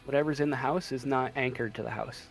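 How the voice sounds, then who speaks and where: A man narrating a tabletop role-playing game as game master, describing scenes in halting pieces. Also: mid-range voice, fairly even intonation, creaky. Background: music in the background.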